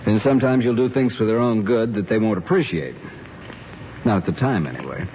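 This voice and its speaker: An actor playing an earnest, formal middle-aged American father with a measured manner in radio drama style. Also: on the low side, lively intonation, a little mellow. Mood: grave, paternal. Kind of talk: 1950s radio drama acting. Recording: muffled old radio recording with hiss.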